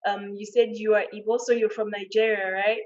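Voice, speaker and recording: A woman's voice: medium pitch, flat delivery, brisk, lo-fi sound. A woman hosting a panel conversation, friendly and admiring, asking long, rambling questions. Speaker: host.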